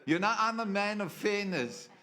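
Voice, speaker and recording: A man preaches in church in a playful, storytelling way, often stopping to ask for an amen. His voice sits a bit higher than most men's. He jokes with the crowd between scripture readings. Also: animated, moderate pace, sharp tone. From South Africa. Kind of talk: sermon.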